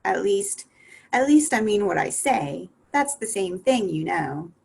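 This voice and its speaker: A woman's voice: medium pitch, expressive, unhurried, creaky, darkish tone, breathy edge, full character voice. A woman voicing a polite but indignant young girl in a storybook reading, prim and earnest.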